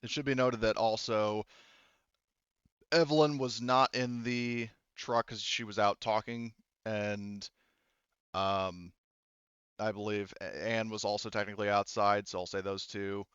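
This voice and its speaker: A man speaking as game master of a casual tabletop roleplaying game, in a halting, informal, sweary way. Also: lowish voice, fairly even intonation, a bit bright, smooth, no room sound, highs cut off.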